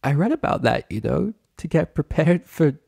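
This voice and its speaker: A man voicing a gentle, emotional young pony mother, soft and hesitant, in a story reading. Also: a little high, very animated, normal speed, creaky, breathy edge, voice pushed up, full character voice. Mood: hesitant, shaky.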